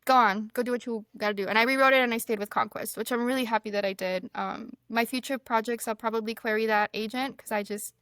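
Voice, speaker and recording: A woman from Mexico, whose first language is Spanish, chatting as a guest author and retelling conversations in a lively, storytelling way. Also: a little high, quick pace, hardly any pauses, clean tone, no echo, studio quality. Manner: chatty storyteller, quotes people often. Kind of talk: casual podcast chat.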